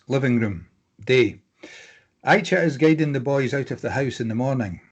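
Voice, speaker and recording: A man narrates a drama script as the reader of stage directions. His voice is of middling pitch, and he delivers the scene headings and actions as scripted narration. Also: breathy edge.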